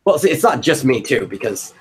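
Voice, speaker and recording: A man's voice: mid-range voice, very animated, fairly quick, slightly rough. A man hosting a casual brainstorming chat, thinking aloud in a loose way. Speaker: host of a brainstorming stream. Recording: noticeable background noise.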